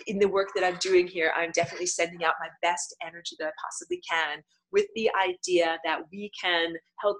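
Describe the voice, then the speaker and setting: Woman, 30s, webcam class host, lowish voice, animated and expressive, reads chat aloud. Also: slight background noise, low-quality recording. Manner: animated, gestures a lot, sudden loud bursts. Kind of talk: live-streamed lesson, reading viewer comments. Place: small indoor room with a patterned wall hanging.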